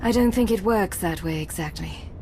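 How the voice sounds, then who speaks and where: A woman playing a composed, diplomatic agent and commander in a video game, speaking calmly and with tactful control. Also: medium pitch, normal speed, slight background noise, natural voice. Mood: calm, probing. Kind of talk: dramatic video game dialogue.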